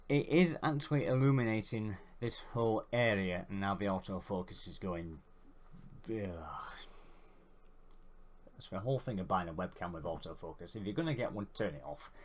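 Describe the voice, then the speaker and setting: A man's voice: lowish voice, slight background noise. A man with a head cold and a congested voice, giving a rambling, casual how-to vlog. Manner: rambling, chatty, self-deprecating. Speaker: YouTuber.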